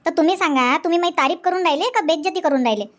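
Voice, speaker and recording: A woman's voice: extremely high pitch, very fast, dry recording. A woman voicing a chatty young newlywed wife in a comedy cartoon, who nags and repeats herself. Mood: pleading. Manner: repetitive, nagging, talks in a rush. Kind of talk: Marathi comedy cartoon dub.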